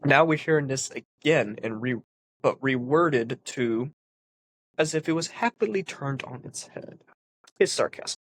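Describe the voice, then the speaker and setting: A man's voice: mid-range voice, expressive, unhurried, fairly bright tone, no room sound, low-quality recording. A man with a congested, sniffly voice, reading a philosophy text aloud and explaining it in an earnest way with emphatic stresses. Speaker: philosophy commentator.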